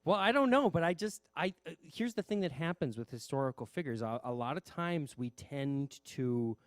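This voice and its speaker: Animated higher-voiced man, very close sound. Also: moderate pace, no echo. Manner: playful, expressive. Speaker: interviewer, theater director. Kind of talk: casual chat.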